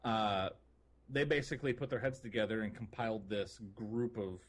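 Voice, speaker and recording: A man's voice: on the low side, normal speed. A man co-hosting a casual gaming podcast, talking in an informal, enthusiastic, loosely phrased way. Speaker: podcast co-host.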